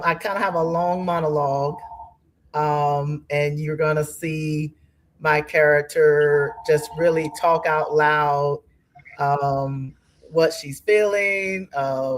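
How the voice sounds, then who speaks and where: A woman's voice: sits low, fairly even intonation, slow. A woman answering as an interview guest, thoughtful and hesitant, searching for her words.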